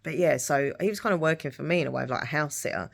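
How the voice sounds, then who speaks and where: A middle-aged woman chatting casually in a rambling vlog style, her voice husky and dry-throated from hay fever. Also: deep voice, very fast. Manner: rambling, repeats herself. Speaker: lifestyle vlogger. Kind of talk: casual rambling chat to camera.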